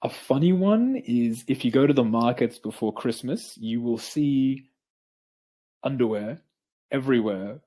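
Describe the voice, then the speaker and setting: A man interviewing a guest in a friendly, casual way, pausing often with ums and restarts. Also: mid-range voice, expressive, warm tone. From Peru, raised in Australia.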